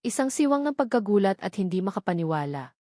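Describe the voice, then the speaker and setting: A woman's voice: mid-range voice, big pitch swings, fast talker, mellow, no room sound. Woman narrating a dramatic story, dry sound.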